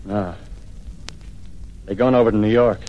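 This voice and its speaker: A man's voice: lowish voice, fairly even intonation, a little slow, lightly acted. A muffled 1938 radio recording carries an actor as an embittered American militiaman. He is a wary, fanatical survivor who talks in clipped, colloquial bursts, a man ranting about how to stay alive in classic radio-play style. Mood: bitter, defeated. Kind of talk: 1930s radio drama acting.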